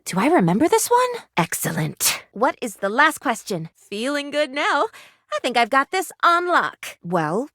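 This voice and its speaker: A woman's voice: high voice, wildly swooping pitch, a little slow, sharp tone, no echo, studio quality, full character voice. A woman voicing an eager, theatrical first-aid kit in a video game, prim and chirpy with old-fashioned, formal phrasing. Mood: studious, perky. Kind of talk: video game character voice acting.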